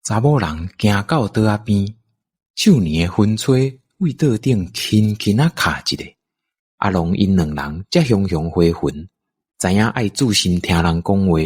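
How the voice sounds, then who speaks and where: A man's voice: deep voice, lively intonation, unhurried, a little mellow, breathy edge. A man with a Taiwanese accent, narrating a scripted radio drama story.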